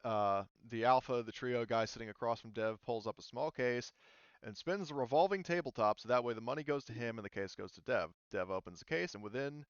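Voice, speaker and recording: Smooth-voiced man running a game, slightly dull sound. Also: medium pitch, fairly bright tone, dry recording. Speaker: game master. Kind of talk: narrating a tabletop roleplaying game.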